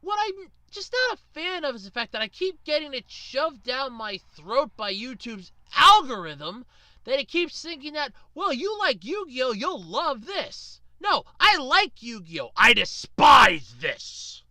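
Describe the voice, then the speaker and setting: A man's voice: extremely high pitch, sing-song, unhurried, pushed and bright, no room sound. A man delivering an informal opinion rant to viewers as a video host, in an exasperated, emphatic, sweary way. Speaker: online ranter, video host.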